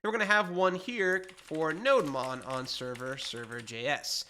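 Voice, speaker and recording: A man's voice: fairly high pitch, fairly even intonation, normal speed, pushed and bright, slight background noise. A man in his thirties teaching a coding lesson over a screen share, stuffed up from allergies and talking in a conversational, explanatory way. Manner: explanatory, conversational, self-correcting. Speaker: programming instructor. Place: small home studio, indoors.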